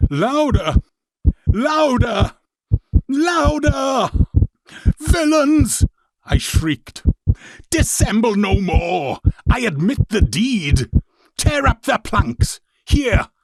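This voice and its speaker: A man playing a deranged murderer who narrates his crime in an intense, theatrical gothic audiobook reading, with a husky, rough-edged voice. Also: sits high, no echo, voice pushed up, full character voice. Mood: hysterical, shrieking. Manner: intense, theatrical, emphatic repetition.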